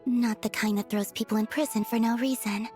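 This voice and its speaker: High-voiced, guileless game maiden played by a woman. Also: fairly even intonation, brisk, a little mellow, faint music behind, lightly acted. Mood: curious, candid. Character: serene, guileless, friendly, blunt young woman. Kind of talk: video game voice acting.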